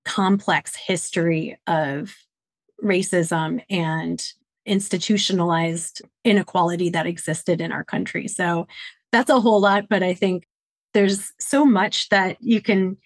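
A woman's voice: on the low side, fairly even intonation, unhurried, no room sound. Thoughtful middle-aged woman from detroit telling her story on a podcast, dry close sound. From Detroit, Michigan, USA. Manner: reflective, earnest storytelling. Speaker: podcast guest, consultant. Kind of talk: conversational interview answers.

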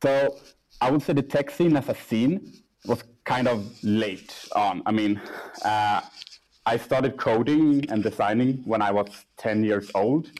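A young man, a startup CEO, answering interview questions in a casual, halting way with many restarts and fillers. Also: mid-range voice, a little mellow, rough recording. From Sweden.

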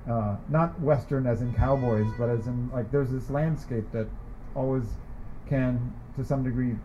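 A man's voice: mid-range voice, steady tone, mellow, a little hiss or hum. Man from california introducing a poet, lots of ums, muffled lo-fi sound. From Sacramento, California, US. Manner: chatty, halting, with many fillers and restarts. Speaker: poet at a reading. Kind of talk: casual introduction and poetry reading. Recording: muffled lo-fi recording.

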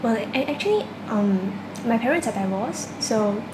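A woman's voice: a little high, lively intonation, normal speed. Woman, 20s, from China, hesitant, repeats words, echoey room, noisy background. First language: Cantonese. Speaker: interviewee, university student. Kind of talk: casual interview answers.

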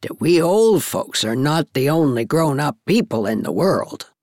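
A blunt old village busybody, acted out by a woman narrator in a storybook, pitched way down and slow. She is forthright and emphatic, and her tune swings widely. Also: very animated, a little mellow, no room sound, studio quality, heavily acted. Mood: retorting. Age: older. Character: blunt, opinionated older woman, village gossip and matchmaker.

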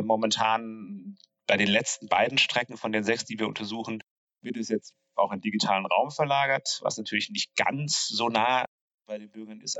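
A man explaining street and cycle route planning in a relaxed conversational way, pausing often with fillers and restarting sentences. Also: lowish voice, no echo, highs cut off. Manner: hesitant, many fillers and restarts. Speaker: cycling infrastructure planner.

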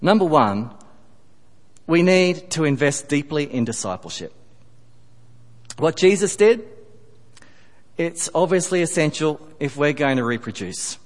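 Emphatic preacher in a reverberant hall. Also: male voice, fairly high pitch, animated, a little slow, pauses often. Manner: emphatic, rising on key phrases, dropping to quiet asides. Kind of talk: sermon. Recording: long reverb of a church hall.

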